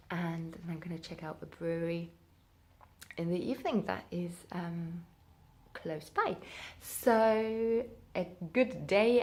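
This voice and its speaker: A woman talking casually and informally as a book vlogger, thinking aloud as she goes. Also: on the low side. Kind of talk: casual spoken reading vlog.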